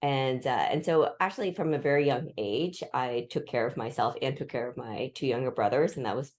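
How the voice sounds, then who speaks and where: A woman's voice: a bass voice, fairly quick, darkish tone. A woman in her forties, raised in the US, telling her life story as an interview guest with thoughtful restarts and fillers. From Vietnam, raised in the US. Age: 40s.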